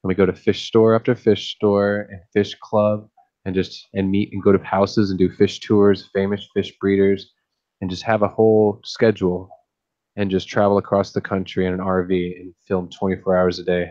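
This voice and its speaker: Deep male voice, very low, monotone, rambling casual chat, muffled lo-fi sound. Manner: rambling, easygoing, thinks aloud with many restarts. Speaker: fish-keeping streamer and YouTuber. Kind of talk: casual late-night chat.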